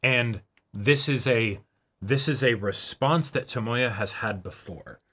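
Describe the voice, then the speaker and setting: A man hosting a reaction show in casual discussion, halting and repeating words as he reasons aloud. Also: mid-range voice, sharp tone. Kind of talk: casual discussion of an anime episode.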